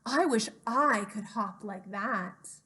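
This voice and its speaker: A woman's voice: medium pitch, slow, sharp tone, a little hiss or hum. A woman voicing an eager, loving little young male hare in an earnest, childlike way for a children's storybook. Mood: earnest, wistful. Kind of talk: children's storybook read-aloud.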